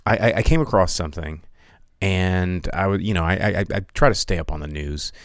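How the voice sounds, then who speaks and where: A man's voice: deep voice, a little fast, dry recording, clean studio sound. A man hosting a podcast livestream in a casual, rambling way, with lots of ums and restarts and a loose, jokey tone. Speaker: podcast host.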